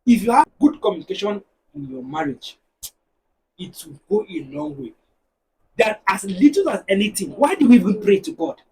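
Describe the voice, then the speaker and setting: A man with a Nigerian accent, a married guest on a discussion show, talking in an animated, preachy way and telling stories to make his points. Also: extremely high pitch, slightly rough, far from the mic, low-quality recording. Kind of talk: casual panel discussion.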